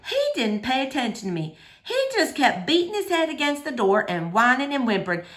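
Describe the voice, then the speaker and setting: A woman reading a children's novel aloud in an expressive, animated storytelling manner. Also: high-pitched, fairly quick. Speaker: storybook reader.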